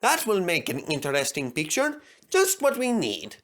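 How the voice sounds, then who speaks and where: A man's voice: sits high, wildly swooping pitch, fairly bright tone, heavily acted. A man doing a grave, proper railway manager. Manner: grave, measured and formal. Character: grave, kindly middle-aged railway manager.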